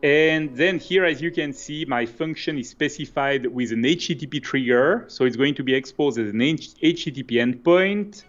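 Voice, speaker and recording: A middle-aged man with a Swiss French accent, a native French speaker, calmly walking through code step by step on a live stream. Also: a little high, normal speed, hardly any pauses, dull audio, soft background music. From Switzerland. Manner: explains step by step, relaxed and conversational. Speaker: co-host, developer presenter. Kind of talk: live coding stream with commentary. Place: home office, indoors. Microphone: webcam video call.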